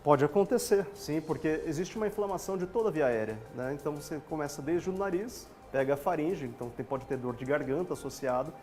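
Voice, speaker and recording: Brazilian doctor on a tv show, calm and explanatory, slightly high voice. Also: male voice, fast talker, few pauses, faint music behind. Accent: Brazilian Portuguese. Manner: calm, explanatory, plain lay terms. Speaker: doctor, guest.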